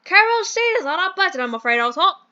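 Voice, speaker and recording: A woman voicing a pompous, cheerful old-fashioned British gentleman boss in a comic audio drama, with theatrical, quaint exclamations. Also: extremely high pitch, sing-song, fast, lo-fi sound, exaggerated voice. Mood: jolly, pompous.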